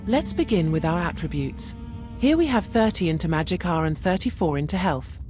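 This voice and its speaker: Lively low-pitched woman narrating a game guide. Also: a little fast. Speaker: gaming video creator and narrator. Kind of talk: game build guide voice-over. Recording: music behind the voice. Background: music.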